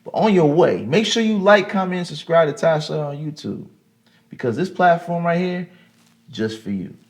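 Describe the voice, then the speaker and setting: A man's voice: a little high, animated, normal speed. A man hosting his own show, reading an upbeat, inviting promo for it. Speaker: show host.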